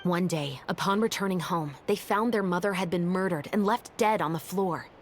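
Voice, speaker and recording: Woman as a steady, justice-minded officer. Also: fairly low pitch, moderate pace, slight background noise, lightly acted. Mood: calm. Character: calm, composed adult female officer, justice-minded. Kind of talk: game voice acting.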